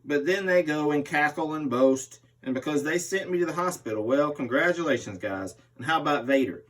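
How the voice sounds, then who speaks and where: A man's voice: a little high, lively intonation, brisk, fairly bright tone. A man reading a magazine interview aloud as a host, voicing the interviewee's part. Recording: distant microphone.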